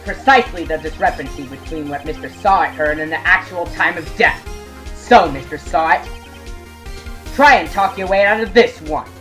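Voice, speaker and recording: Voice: medium pitch, normal speed, a bit bright, faint music behind, close to an everyday voice. An actor voicing an earnest, dogged young male defense attorney in an amateur courtroom game dub, arguing assertively. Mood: assured. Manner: assertive, declamatory argument.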